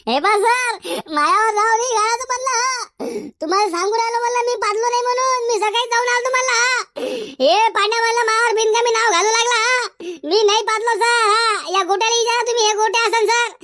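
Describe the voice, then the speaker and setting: Cartoon schoolboy, Varhadi Marathi accent, boastful, argumentative, bright pitched-up voice, rapid delivery. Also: male voice. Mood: indignant protest. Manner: argumentative, indignant, backtalking. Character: cheeky, boastful village schoolboy protesting his innocence. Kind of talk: cartoon comedy dialogue.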